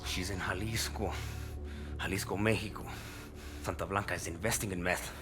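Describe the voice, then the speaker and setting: An actor playing a paranoid, cornered cartel boss in a game cutscene, halting and foul-mouthed, with music behind him. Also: male voice, sits low, steady tone, lightly acted. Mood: pleading, defeated. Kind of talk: video game cutscene acting.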